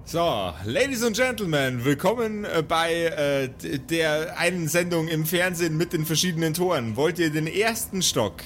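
A man's voice: fairly high pitch, lively intonation, moderate pace, few pauses, fairly bright tone, slight background noise. A man acting as game master, narrating an improvised tabletop role-play in a playful, theatrical announcing way. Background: faint background music.

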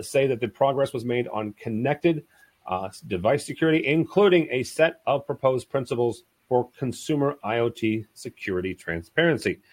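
A man hosting a panel podcast, making jokey, upbeat introductions and reading out news items. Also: mid-range voice. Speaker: podcast host.

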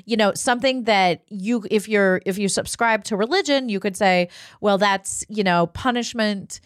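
Lady co-host just chatting on a podcast, mid pitch, dry recording. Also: normal speed, no echo. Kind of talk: casual conversational discussion.

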